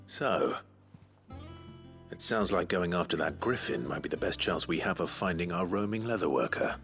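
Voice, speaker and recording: An actor playing an earnest, considerate fantasy game hero, measured and polite with a raspy voice. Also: male voice, expressive, a bit bright, faint music behind, full character voice. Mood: polite, resolute. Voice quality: low, husky, raspy. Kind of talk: video game voice acting.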